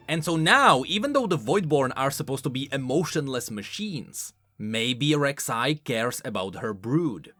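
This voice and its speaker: A man with a fairly high voice delivers game lore commentary over faint music. His delivery is informal and emphatic, a scripted explainer loosened by casual asides. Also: pushed and bright. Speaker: video essay host, game lore commentator. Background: background music.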